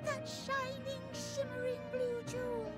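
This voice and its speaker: An actor voicing a small, eager and earnest rabbit-like creature in a video game, excitable and pleading in manner. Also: male voice, very high-pitched, a little slow, music in the background. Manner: excitable, pleading, flowery British phrasing. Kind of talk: video game cutscene acting.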